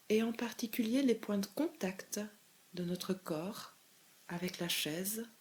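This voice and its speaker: A woman leading a guided meditation as a calm, measured guide, giving gentle step-by-step instructions with pauses. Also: mid-range voice. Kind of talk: guided body scan meditation.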